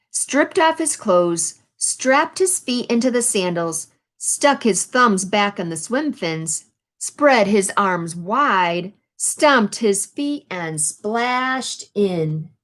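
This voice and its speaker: A woman with a mid-range voice reads a story aloud for young children. Her pace is unhurried, with expressive emphasis on key words. She moves between storytelling and simple, instructive explanation. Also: medium pitch. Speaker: reader of a children's picture book, teaching.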